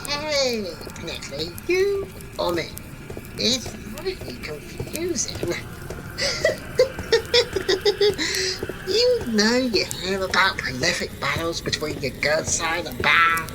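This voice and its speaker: A woman's voice: on the low side, huge pitch swings, takes it slowly, music in the background, exaggerated voice, poor audio. A woman playing a playful, sarcastic female jester in a fantasy audio drama, flippant but shrewd. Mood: amused. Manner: sarcastic, giggly, theatrical.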